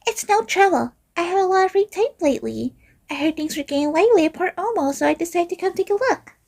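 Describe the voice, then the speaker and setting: Woman as a very high, gentle little girl. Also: animated, quick pace, gravelly, full character voice. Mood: gentle, polite. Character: gentle, polite young girl leader from fantasy game. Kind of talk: amateur game voice-over.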